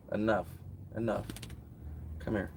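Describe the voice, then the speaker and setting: Low-voiced rambling livestream guy. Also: vocal fry, heavy background noise. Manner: rambling, many "like" fillers, chatting with viewers. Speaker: livestream host. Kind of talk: casual livestream chat.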